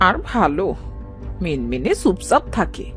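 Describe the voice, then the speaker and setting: A woman's voice: fairly low pitch, sing-song, measured pace, fairly bright tone, faint music behind. A woman playing an older village mother-in-law in a cartoon, bossy, carping and full of self-pity. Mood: complaining. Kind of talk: dramatised cartoon dialogue.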